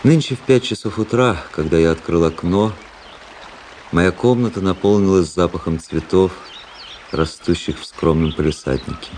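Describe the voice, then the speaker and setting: An actor playing an observant, world-weary officer narrating his diary in a radio play, measured and lyrical. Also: deep voice, darkish tone, slight background noise, close to an everyday voice. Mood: contemplative.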